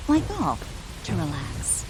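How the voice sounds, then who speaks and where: Taunting, low-voiced female killer. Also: huge pitch swings, slow, noisy background, full character voice. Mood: menacing. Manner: mocking, theatrical, menacing. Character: sadistic, taunting female hired killer who relishes her job. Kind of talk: dramatic video game voice acting. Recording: loud music behind.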